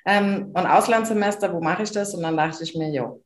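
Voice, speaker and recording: Lively German woman in an echoey room. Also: on the low side, a little fast. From Bavaria, Germany. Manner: enthusiastic, emphatic, many fillers and restarts. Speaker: podcast guest. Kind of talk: casual interview chat.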